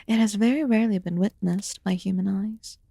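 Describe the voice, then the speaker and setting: A woman playing an ancient, aloof fae in a fantasy ASMR roleplay, murmuring softly and formally with some whispered phrases. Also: mid-range voice, vocal fry, no room sound, studio quality. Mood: aloof, commanding. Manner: slow, deliberate, archaic formal wording. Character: ancient aloof female fae recluse, haughty yet quietly caring.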